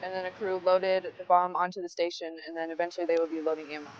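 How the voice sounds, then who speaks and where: A woman's voice: on the low side, completely flat delivery, fast, lo-fi sound, slight background noise. A young woman, an air force crew member, explaining her job in an interview.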